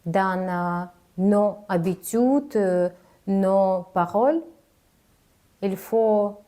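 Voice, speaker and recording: Slow, careful woman speaking a second language. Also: medium pitch, dark tone. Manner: careful, halting delivery of a non-native speaker. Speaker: communication coach. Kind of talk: spoken advice video.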